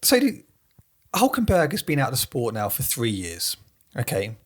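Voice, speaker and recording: A man with a croaky voice from a cold, co-hosting a podcast in casual conversation. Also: mid-range voice, huge pitch swings, normal speed. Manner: conversational, asks questions. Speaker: podcast co-host. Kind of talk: casual chat about racing.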